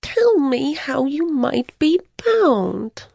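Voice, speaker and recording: An actress playing a coaxing, seductive ancient temptress with theatrical delivery in a scripture drama. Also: female voice, high voice, big pitch swings, extremely slow, vocal fry, darkish tone, dry recording, heavily acted. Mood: coaxing, reproachful. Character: Delilah, coaxing seductive Philistine-era temptress.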